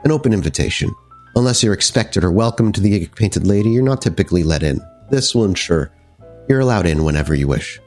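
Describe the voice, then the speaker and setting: A man voicing a courteous, guarded female vampire club owner in a tabletop game, with measured, formal phrasing. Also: deep voice, lively intonation, darkish tone, faint music behind. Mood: curious, guarded.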